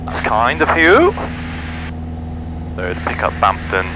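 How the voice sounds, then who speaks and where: A man's voice: medium pitch, huge pitch swings, takes it slowly. A man flying as a private pilot, making radio calls and talking methodically through his cockpit checks over a headset. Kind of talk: radio calls and self-talk cockpit commentary. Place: small light aircraft cockpit in flight. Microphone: aviation headset. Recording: dull cockpit intercom, loud engine noise.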